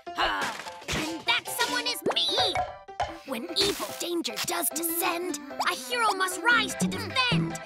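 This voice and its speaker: High squeaky cartoon bear cub, swooping delivery, boastful, mock-heroic, playing superhero. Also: heavily acted. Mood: heroic bravado. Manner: bombastic mock-heroic declaiming. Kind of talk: children's cartoon voice acting. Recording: children's cartoon music behind the voice.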